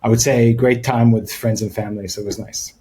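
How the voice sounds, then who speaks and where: A man speaks as a podcast guest in a casual chat. He talks softly and hesitantly, filling gaps with ums and letting his sentences trail off quietly. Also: lowish voice, steady tone, quick pace. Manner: soft-spoken and hesitant, many fillers, trailing off quietly. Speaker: guest, company co-founder.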